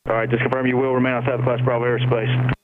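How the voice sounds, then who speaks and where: A male air traffic controller rattles off procedural clearances in a level, matter-of-fact tone. He talks very quickly, and the radio channel is muffled and full of noise. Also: medium pitch, monotone. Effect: aviation radio.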